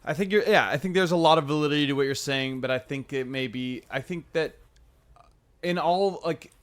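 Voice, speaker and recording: A man co-hosting a podcast with his brother, answering in a measured, hedging way and pushing back calmly. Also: a little high. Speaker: podcast co-host. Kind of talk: casual argument and sports chat with his brother.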